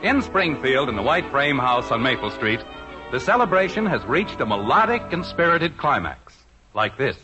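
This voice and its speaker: A man's voice: medium pitch, big pitch swings, moderate pace. A man with an American accent reading scripted narration as a polished, declamatory 1950s radio announcer. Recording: muffled old radio recording. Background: music.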